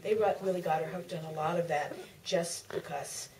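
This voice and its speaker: A woman speaking off the cuff as an author guest on a panel, often halting and restarting her sentences. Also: lowish voice, steady tone, darkish tone. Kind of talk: spontaneous panel discussion. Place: theater stage at a live Q&A. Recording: distant mic, clearly noisy room sound.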